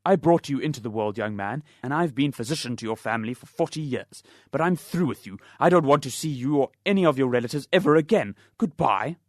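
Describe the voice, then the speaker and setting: A man's voice: a little high, big pitch swings, moderate pace, a little mellow, dry recording, studio quality, voice pushed up. A man voicing an irritated older family doctor who speaks sharply and cuttingly. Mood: indignant.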